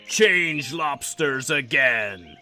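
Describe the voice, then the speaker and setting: A man playing a brusque, excitable gryphon in an audiobook drama, with swooping emphasis. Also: medium pitch, drawn-out words, faint music behind, full character voice. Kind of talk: scripted audiobook character acting.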